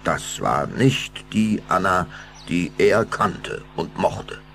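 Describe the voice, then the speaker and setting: A man narrating a radio play as a grave, expressive storyteller. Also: low voice, takes it slowly, gravelly, soft background music, exaggerated voice. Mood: reflective.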